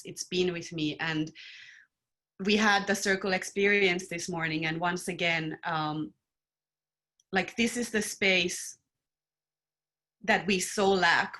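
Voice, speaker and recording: A woman raised in Finland, speaking as a panelist in a thoughtful, earnest and measured way. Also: on the low side, pushed and bright. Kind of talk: reflective panel talk.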